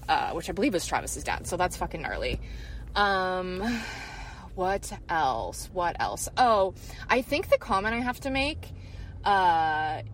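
A woman with a slightly low voice records a casual, rambling fan voice message. She is blunt and slangy, swearing freely and leaning hard on her points. A faint background noise sits behind her. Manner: blunt, profane, slangy, emphatic. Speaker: fan leaving a voice message for a podcast.

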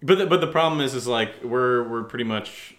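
A man's voice: mid-range voice, brisk, fairly bright tone. A man co-hosting a podcast in loose, casual conversation. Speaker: podcast co-host and voice actor. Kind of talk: casual chat.

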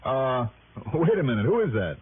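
An actor playing a brisk, wisecracking insurance investigator in a 1960s radio drama. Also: male voice, mid-range voice, sing-song, narrow, tinny audio, slight background noise, natural voice. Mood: conversational, surprised. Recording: band-limited old radio recording, loud music.

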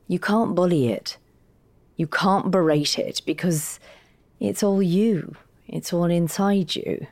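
Low-pitched, candid woman. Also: a little mellow. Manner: frank, articulate, wry. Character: candid, witty, encouraging female partner of a writer. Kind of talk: naturalistic audio fiction acting.

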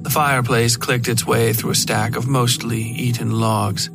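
A man's voice: medium pitch, level tone, moderate pace. A man reading scripted first-person horror fiction as a podcast narrator, close to the microphone. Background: faint music.

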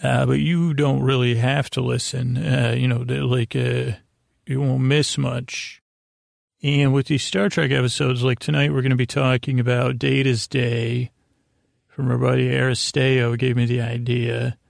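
An American man hosting a podcast, giving a soothing, meandering bedtime monologue in a halting way, often repeating himself and trailing off. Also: medium pitch, level tone, creaky, no echo, clean studio sound. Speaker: podcast host.

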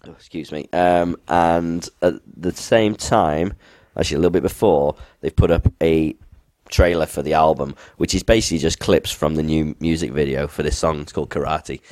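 A man co-hosting a casual chat, his voice croaky from being unwell, talking enthusiastically with many restarts. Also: a bass voice, steady tone, moderate pace, hardly any pauses, darkish tone, no room sound. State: croaky from illness. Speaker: podcast co-host.

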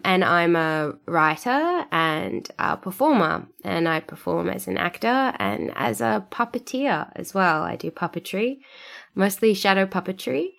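A woman's voice: fairly low pitch, vocal fry, fairly bright tone. An Australian woman, a writer and performer, chatting enthusiastically as a podcast guest. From Australia (Sydney). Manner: chatty and enthusiastic, often laughing. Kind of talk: casual podcast interview.

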